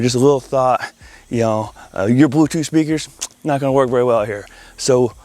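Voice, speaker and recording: An upbeat man presents a casual walkthrough in the open air, with very little echo on his voice. He talks informally and directly to viewers, like an installer proudly showing his work. His pitch sits in the middle range for a man. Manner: enthusiastic, informal, addresses viewers as guys. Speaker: audio-video installer presenting a project tour. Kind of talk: casual walkthrough vlog to camera. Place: outdoors in a backyard by a pool.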